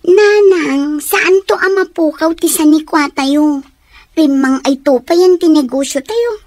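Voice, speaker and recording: Stubborn, complacent daughter for radio drama; high-pitched, recorded dry. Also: female voice, a little slow, a little mellow, no room sound. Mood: reluctant, stubborn. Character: stubborn, complacent student daughter who dislikes studying.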